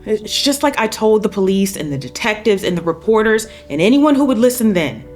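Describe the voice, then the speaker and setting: A woman's voice: mid-range voice, very animated, a little fast, darkish tone, faint music behind, close to an everyday voice. A woman playing an angry, worn-down mother in a script read, telling her story in a blunt, folksy way. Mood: bitter, weary. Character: angry, weary mother of a missing teenage daughter. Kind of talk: screenplay table read.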